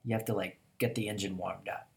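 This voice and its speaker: A young man with a hoarse, deep voice from a cold, hosting a casual chat with upbeat enthusiasm. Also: level tone, a little fast. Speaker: podcast host.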